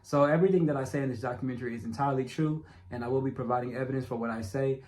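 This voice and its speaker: A man's voice: medium pitch, racing, darkish tone. A man with a stutter and a noticeable speech impediment narrates his own documentary. He speaks in a loose, conversational way, addressing viewers directly. He repeats lines for emphasis. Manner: repetitive, emphatic, talks straight to viewers. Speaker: self-made documentary narrator. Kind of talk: casual direct-address monologue.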